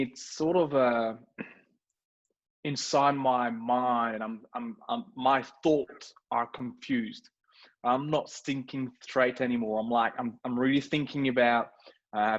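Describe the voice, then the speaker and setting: A man's voice: medium pitch, muffled recording. A man with a French accent, a non-native English speaker, talking as a guest on a video call, hesitant with many fillers and restarts. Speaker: guest entrepreneur. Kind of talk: casual video call interview.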